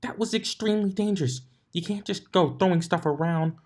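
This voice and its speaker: Narrator doing an exasperated girl unicorn librarian, anxious n scolding, voice pushed up high. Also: fairly quick, darkish tone, natural voice.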